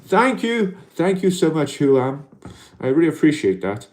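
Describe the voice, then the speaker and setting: A Welsh man hosting a casual livestream in an alien costume, talking gently and reflectively and reading out viewer comments, with lots of "you know" fillers. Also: fairly high pitch, sing-song, normal speed. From Wales.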